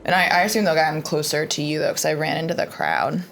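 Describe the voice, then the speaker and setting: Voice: medium pitch, quick pace, creaky, fairly bright tone. A player in a tabletop game describing actions hesitantly, with many fillers.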